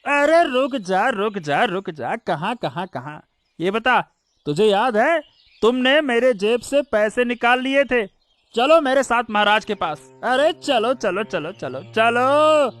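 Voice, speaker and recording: A man's voice: extremely high pitch, animated, slow pace, fairly bright tone, soft background music, heavily acted. A man playing a greedy, cowardly minister in a cartoon story, flustered and repeating his words nervously. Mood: gloating, menacing.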